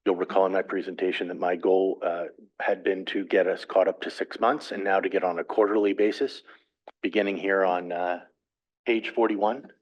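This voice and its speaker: A man with a low, deep voice presents a resolution to a council, methodical and measured as he reads through figures. The sound is dull and lo-fi, like meeting-room audio. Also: little pitch movement. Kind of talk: formal presentation.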